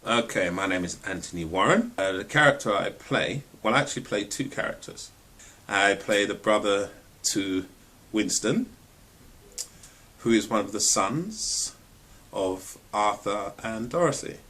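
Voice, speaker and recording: A man's voice: fairly low pitch, animated, a little slow, bright, forceful tone. A man speaking as an actor in a hesitant, loose way, informally describing his parts. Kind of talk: informal spoken introduction.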